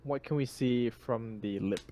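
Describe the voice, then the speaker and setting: A man's voice: medium pitch, unhurried, mellow, a little hiss or hum. A man playing a tabletop game, giving casual but decisive tactical directions.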